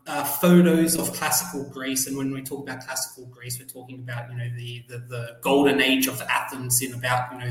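A young man with an Australian accent answers interview questions in a measured, explanatory way, often pausing on um and uh. His pitch is an everyday middle. The recording is echoey, as if from a bare room. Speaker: guest, journalist and PhD student.

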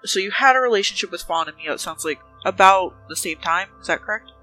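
A businesslike female detective, played by a woman at a gaming table, with a bright, forward voice. She probes methodically and keeps a measured manner, over soft background music. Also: medium pitch, lively intonation, low-quality recording. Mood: probing, calm.

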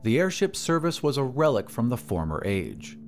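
A man narrating a story in a deliberate, literary, dramatic scripted style. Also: mid-range voice, very animated, heavy background noise. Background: music.

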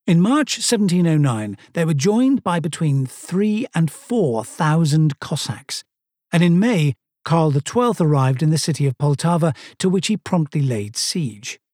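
A man's voice: fairly high pitch, animated, measured pace, darkish tone, no echo. A man from Sweden narrating a first-person travel story with dry, self-mocking humor.

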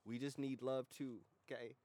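A man's voice: medium pitch, flat delivery, moderate pace, dark tone. An American man hosting a casual comedy podcast, chatting in a slangy, profane, irreverent way. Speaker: podcast host.

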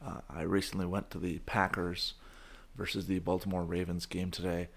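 Hoarse, low, gravelly young man. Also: flat delivery, moderate pace. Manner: goofy, rambling, restarts sentences. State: hoarse from shouting. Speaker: podcast host. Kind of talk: casual chat.

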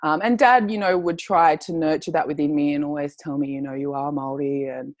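A young Australian woman guest, speaking earnestly and searching for words, with many pauses and ums. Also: deep voice, steady tone, no room sound. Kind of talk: reflective personal storytelling.

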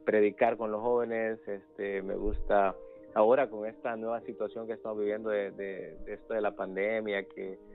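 A man in his thirties with a low voice, talking as a guest in a casual interview. He repeats words as he searches for phrasing. The sound is muffled and narrow, with faint music underneath. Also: little pitch movement, moderate pace. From El Salvador. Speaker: interview guest, youth ministries pastor. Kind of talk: casual spoken testimony.

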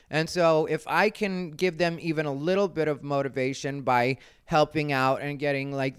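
Smooth, clear-voiced American man chatting casually. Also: a little high, no room sound, studio quality. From Arizona, United States. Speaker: comedian and show host. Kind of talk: casual solo vlog chat.

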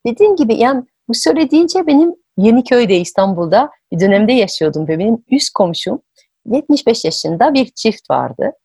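A woman hosting an interview, telling personal stories in a conversational, expressive way. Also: on the high side, fairly quick, breathy edge, no room sound, clean studio sound. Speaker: podcast host. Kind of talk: conversational storytelling.

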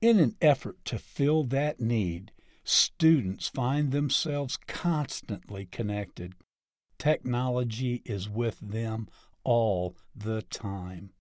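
A man reads an article aloud at a slow, deliberate pace, lifting his emphasis now and then. The sound is dry and close, with no room echo. His pitch sits in an ordinary middle range. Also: a little mellow. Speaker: article reader.

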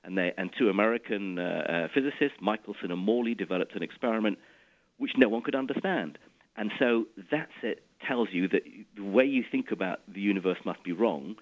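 Low-voiced middle-aged guy from iraq, physicist guest, keeps restarting words, muffled radio sound. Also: lively intonation, fairly quick. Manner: restarts and repeats words while explaining. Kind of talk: explanatory interview talk.

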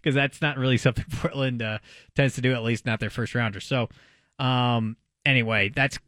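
An American man co-hosting a sports talk show, speaking in a casual, animated, conversational way. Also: mid-range voice, moderate pace, dry recording, studio quality. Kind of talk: casual sports radio discussion.

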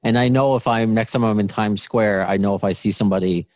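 A man's voice: on the low side, steady tone, quick pace, dry recording. A man in his late thirties hosting a podcast interview, chatty, laughing often and restarting sentences. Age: 30s. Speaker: podcast host. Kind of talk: casual interview.

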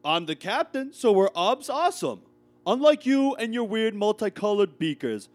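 A man's voice: high-pitched, sing-song, moderate pace, dry recording. A man playing a cocky teenage dance-team captain in a comedy sketch, brash and condescending. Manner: brash, condescending, bro-ish.

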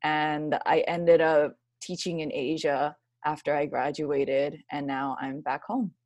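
Young woman from hawaii, lowish voice, dry close sound, friendly, video call guest. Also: flat delivery, slow pace, a little mellow, no room sound. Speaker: guest, graduate student. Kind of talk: casual interview over video call.

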